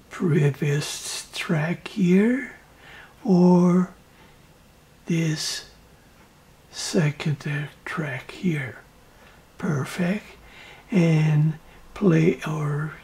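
A man narrating a hands-on electronics tutorial in halting, clipped short phrases, with a breathy voice that trails off into whispers. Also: on the high side, dark tone. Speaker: electronics project presenter.

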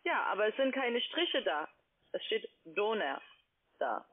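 A woman's voice: medium pitch, big pitch swings, measured pace. Curt woman on a tinny phone line. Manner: curt, impatient. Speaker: call center sales agent. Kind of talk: phone sales call turning into argument. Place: large open-plan office. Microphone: telephone. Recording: phone line from a call center.

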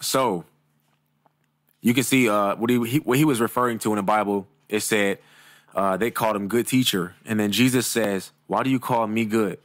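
Low-voiced laid-back male commentator. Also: moderate pace, no room sound, studio quality. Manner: relaxed, agreeing, thinks aloud with fillers. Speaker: reaction video host. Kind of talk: casual commentary. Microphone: studio mic.

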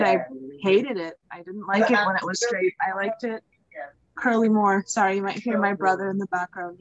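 A young American woman chats casually in a medium-pitched voice. She is on a slightly dull video call from home, with clear chatter behind her. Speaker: event participant. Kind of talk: casual chat.

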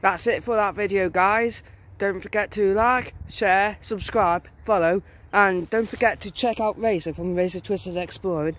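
A man's voice: high voice, fairly even intonation, moderate pace, a bit bright. Young man vlogging through noisy camera. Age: young adult. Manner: keeps addressing viewers as guys. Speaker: urban explorer vlogger. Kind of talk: casual walkthrough narration. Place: abandoned bungalow and woods. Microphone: handheld camera. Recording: noisy outdoor camera audio.

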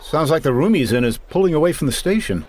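A man's voice: a little high, expressive, fast talker, a little mellow, a little hiss or hum. A man doing dry, sarcastic wisecracks as comedy commentary on an old radio drama.

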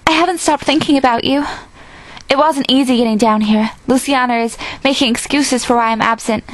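A woman's voice: sits high, brisk, no room sound, voice pushed up, close to an everyday voice. A young woman voicing a poised, menacing female rival with formal phrasing in an amateur audition. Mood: pleading. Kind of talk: amateur audition line reading.

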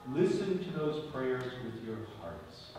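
A man preaching a homily as a bishop, solemn and deliberate, speaking phrase by phrase with emphatic stresses in a large church. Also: medium pitch, huge pitch swings, mellow. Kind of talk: sermon. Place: large stone-walled church, at the ambo before a congregation. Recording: very echoey church, distant mic, faint noise.

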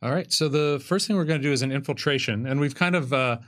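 A man's voice: medium pitch, fast talker, fairly bright tone. A man in his thirties co-hosting a casual game presentation, with loose, hesitant phrasing. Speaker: game designer and co-host. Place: small curtained studio, seated at a table. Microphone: microphone on a boom stand.

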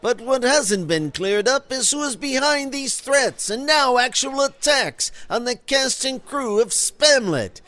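An actor playing a proper, earnest sidekick of a private eye, formal and polite, in a comedy audio drama. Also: male voice, very high-pitched, animated, measured pace, hardly any pauses, sharp tone, heavily acted.

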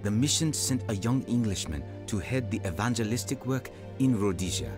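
A man's voice: on the low side, expressive, normal speed, music in the background, natural voice. An actor playing a humble African evangelist, telling his life story earnestly in a radio drama. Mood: reflective, earnest. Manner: earnest, reflective. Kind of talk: dramatised first-person narration and dialogue.

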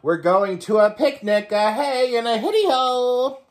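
A man's voice: very high-pitched, lively intonation, a little slow, voice pushed up. A man voicing hungry marching ants from a storybook in a sing-song rhyming chant. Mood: eager chanting.

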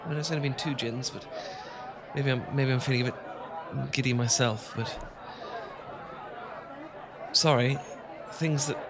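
A man's voice: mid-range voice, steady tone, a little slow, pauses often, a crowd in the background, noisy background, close to an everyday voice. A man with a British accent playing a flustered, smitten young English professor in a romantic audio roleplay, stammering and politely old-fashioned. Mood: nervous, confessing. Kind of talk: romantic ASMR roleplay acting.

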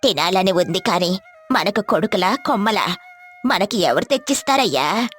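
A woman voicing an old village wife, scolding but affectionate, in an animated moral story. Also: mid-range voice, moderate pace, soft background music, natural voice. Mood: chiding.

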